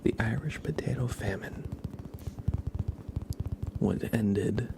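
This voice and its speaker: A man whispering slowly and playfully in an ASMR roleplay style, telling absurd stories with a straight face. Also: lowish voice, noisy background. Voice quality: breathy whisper with creaky edges. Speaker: ASMR livestream host.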